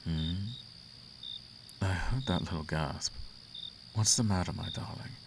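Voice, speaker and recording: A man's voice: sits low, measured pace, background noise. A man playing a suave, flirtatious, imperious demon prince in an intimate roleplay monologue, teasing and silky with grand formal phrasing. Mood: seductive, teasing. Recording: clear outdoor cricket ambience behind.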